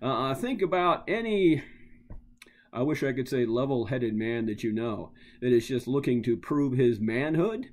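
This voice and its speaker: A man's voice: medium pitch, expressive, normal speed. A man giving an informal history lecture as a teacher, emphatic and dramatic in his storytelling, repeating phrases for effect and acting out quotes.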